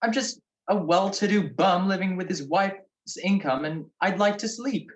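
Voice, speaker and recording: An actor playing a grumpy, wisecracking married gentleman sleuth with sardonic wit in a radio comedy-mystery. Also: sits high, moderate pace, natural voice. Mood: grouchy, sleepy.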